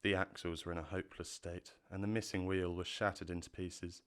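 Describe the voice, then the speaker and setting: A man narrates a storybook audio drama from a script. His voice is very deep and clear, with a measured, literary delivery. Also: level tone, fairly bright tone.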